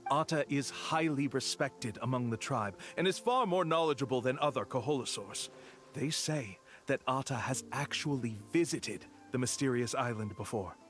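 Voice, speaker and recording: An actor playing a polite, reassuring tour guide in a video game, with an earnest sales patter. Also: male voice, medium pitch, normal speed, fairly bright tone, soft background music, natural voice. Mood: explanatory, reassuring. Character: smooth-talking male tour guide, polite, reassuring con artist.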